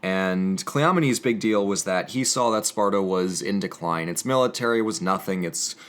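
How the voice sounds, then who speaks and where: A man hosting and reading poetry, moving between casual commentary and measured, theatrical literary delivery. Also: low voice, a bit bright. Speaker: host of an educational channel.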